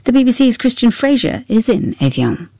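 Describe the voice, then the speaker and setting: A woman reading a radio news bulletin in a measured, formal way. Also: on the high side, sing-song, vocal fry, dark tone, no echo, clean studio sound. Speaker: newsreader.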